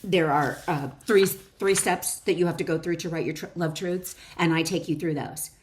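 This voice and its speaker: A woman's voice: fairly low pitch, fairly even intonation, normal speed, slight background noise. A woman author and wellness educator reading her own self-help book expressively, with motivational emphasis, stressed key phrases and informal asides.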